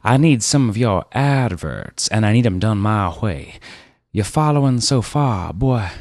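A man voicing a boorish, overbearing heavyset car dealer who talks loudly and bossily in a drawl. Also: lowish voice, lively intonation, a little slow, dry recording, studio quality. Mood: bossy. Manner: loud, bossy, drawling.

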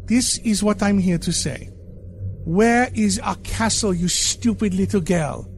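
A man voicing a shrill, bitter ghost ballerina. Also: measured pace, creaky, faint music behind. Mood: furious. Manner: shrill, scolding, mocking. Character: bitter sarcastic ghost ballerina woman, charred.

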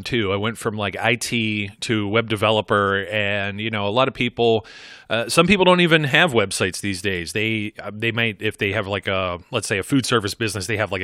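A man in his thirties hosts a podcast, talking loosely and circling back on himself. His voice is bright and forward, and sits at an ordinary pitch. The recording is dry and close. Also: no echo. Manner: rambling, many fillers and restarts. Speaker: podcast host. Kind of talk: conversational interview.

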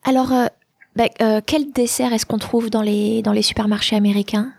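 A woman's voice: on the high side, fairly even intonation, normal speed, creaky, darkish tone, slightly rough, no room sound, studio quality. A woman interviewing a guest, asking friendly, curious questions in a casual conversation.

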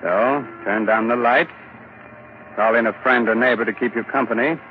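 A man's voice: medium pitch, steady tone, moderate pace. A male host narrating and introducing a 1940s radio horror drama in a sinister, theatrical manner. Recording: tinny 1940s radio broadcast, hiss.

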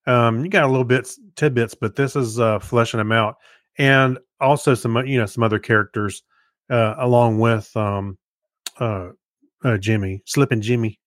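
Halting man in casual podcast chat. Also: mid-range voice, steady tone, no echo, studio quality. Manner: halting, searching for words. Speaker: podcast co-host. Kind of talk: casual chat about TV shows.

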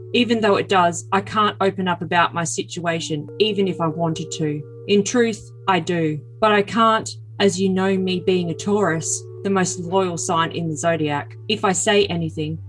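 A woman's voice: lowish voice, close to an everyday voice, low-quality recording. A woman playing a needy, brooding, self-important young man in an overly dramatic comic reading of texts, earnest and melodramatic. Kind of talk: overly dramatic comedic reading of text messages.

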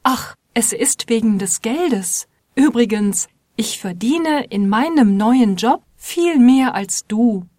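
A woman playing a determined mother in a slow, clearly spoken scripted dialogue. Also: a little high, lively intonation, warm tone, no echo, clean studio sound. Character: determined mother wanting to return to work.

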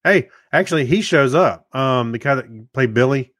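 A man's voice: medium pitch, lively intonation, moderate pace, a bit bright, no echo. Man in casual chat, dry close sound. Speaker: podcast co-host. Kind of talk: casual chat about films and TV shows.

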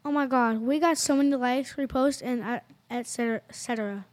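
Excitable teenage girl friend, acted by a young female, high voice, amateur audio theater. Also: fairly quick, soft background music, close to an everyday voice. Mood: proud. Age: child or teen. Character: Kate, chatty excitable girl investigating with best friend. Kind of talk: amateur youth radio play acting.

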